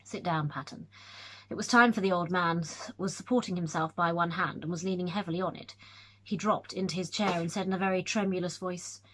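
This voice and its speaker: A woman with an English accent narrating a classic ghost story in a measured reading. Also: lowish voice, fairly even intonation, fairly bright tone, slight background noise, rough recording.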